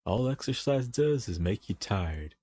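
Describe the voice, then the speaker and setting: A man's voice: fairly low pitch, lively intonation, unhurried, darkish tone, breathy edge, no echo. A man playing a wry, grumbling older camp guard in an amateur comic dub.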